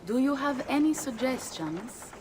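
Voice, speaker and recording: A woman's voice: high voice, expressive, measured pace, background noise. An actress playing a poised, commanding noblewoman, teasing and self-assured in a game cutscene. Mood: flirtatious. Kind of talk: video game cutscene acting.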